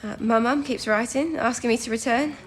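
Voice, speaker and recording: A woman's voice: fairly high pitch, brisk, close to an everyday voice. A young woman playing an eager, modest teenage recruit to wartime codebreaking in a period radio drama, chatty and polite. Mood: earnest. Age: young adult.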